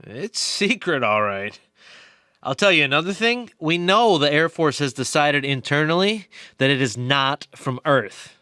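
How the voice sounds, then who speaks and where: Actor as bright, insistent male scientist. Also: a little high, expressive, measured pace, clear, resonant tone, no echo, studio quality. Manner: emphatic, explanatory. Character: insistent, knowing research biologist and cover-up believer. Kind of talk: audio drama acting.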